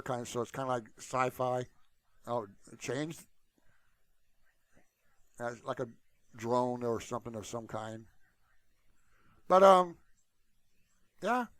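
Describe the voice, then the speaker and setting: A man's voice: medium pitch, a bit bright, no room sound. A man hosting his own channel, talking to viewers in a casual, unscripted ramble peppered with "you know". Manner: informal and unscripted, repeatedly saying "you know". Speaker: YouTube channel host.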